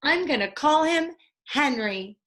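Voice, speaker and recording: A woman's voice: high-pitched, takes it slowly, pitched above the performer's own voice, exaggerated voice. A woman voicing an eager, trusting, insistent young girl who loves animals, in a children's storybook. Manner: insistent, excitable.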